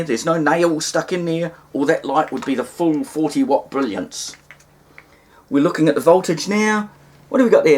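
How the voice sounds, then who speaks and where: A man with a New Zealand accent giving a casual, unscripted hands-on demonstration at home, hesitant, folksy and wry. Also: fairly high pitch. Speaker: hobbyist presenter demonstrating vintage radios. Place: indoors in a cluttered home room.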